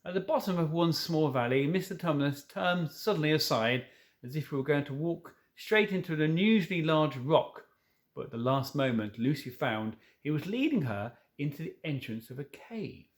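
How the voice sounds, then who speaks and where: A man's voice: fairly high pitch, lively intonation, normal speed. A man reading a children's novel aloud, stumbling now and then and correcting himself, with informal asides. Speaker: storybook reader.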